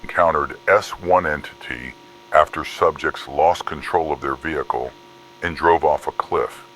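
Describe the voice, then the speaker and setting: A man's voice: a bass voice, unhurried, a bit bright, a little hiss or hum. A man reading scripted horror case files in a formal, clinical manner. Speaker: narrator of fictional case files. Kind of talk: scripted analog horror document narration.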